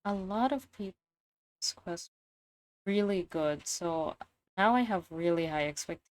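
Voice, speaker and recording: A woman's voice: on the low side, a little slow, no room sound, poor audio. A woman giving casual commentary as she plays a video game, often thinking aloud absent-mindedly. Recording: faint game music behind. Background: video game music.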